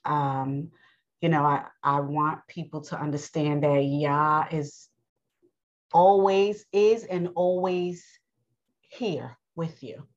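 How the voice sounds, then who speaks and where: A woman with a New York accent delivers an informal sermon in a low voice, speaking earnestly and pausing often between thoughts. The recording is slightly muffled and dull, like a simple home podcast setup. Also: fairly even intonation. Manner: earnest, unhurried, with many pauses and fillers. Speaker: podcast host and preacher.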